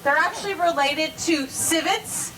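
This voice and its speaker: A woman's voice: sits high, a little slow, recorded from a distance, crowd noise. A woman giving a live, informal educational animal presentation, explaining things directly to onlookers. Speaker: zoo animal show presenter.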